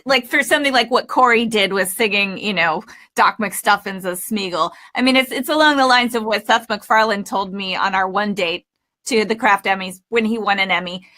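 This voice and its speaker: A woman who coaches voice acting, hosting a casual webinar chat over a video call, talking in a chatty, animated way. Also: mid-range voice, normal speed.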